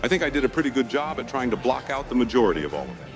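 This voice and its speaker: An actor playing a down-to-earth, skeptical ghost hunter in a motion comic, dry and plain-spoken. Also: male voice, mid-range voice, big pitch swings, very fast. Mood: weary, dry. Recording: loud music behind.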